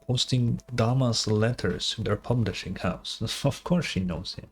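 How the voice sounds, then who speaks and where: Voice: medium pitch, a little slow, crowd noise. A streamer giving casual, blunt, sweary reaction commentary on a video game. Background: game music.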